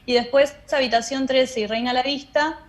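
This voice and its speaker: A woman's voice: sits high, steady tone, fairly quick. A woman with an Argentine accent explaining things as a guest in an interview. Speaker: interview guest, theatre director and drama teacher.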